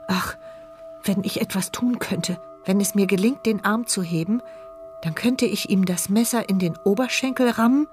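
A woman's voice: mid-range voice, normal speed, darkish tone, lightly acted. Brief: actress as trapped, frightened woman, fragmented inner monologue, radio thriller, music underneath. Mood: shocked, desperate. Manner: tense, fragmented first-person present-tense narration. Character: wheelchair-bound woman narrator, trapped, terrified, silent inner voice. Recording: music bed behind voice.

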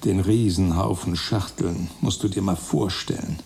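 An actor playing an obsessive, meticulous writer in a radio drama, listing and calculating with methodical precision. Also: male voice, sits low, steady tone, measured pace, gravelly, darkish tone. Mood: driven. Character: obsessive man, a writer, meticulously planning his austere seclusion. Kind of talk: radio drama monologue, German.

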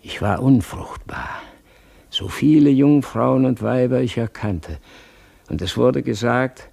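Gravelly, slow-speaking tormented king. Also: medium pitch, darkish tone, full character voice. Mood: agitated, haunted. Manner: slow, declamatory, anguished. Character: tormented, fearful, imperious king haunted by prophecy. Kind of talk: German radio play, theatrical dramatic acting.

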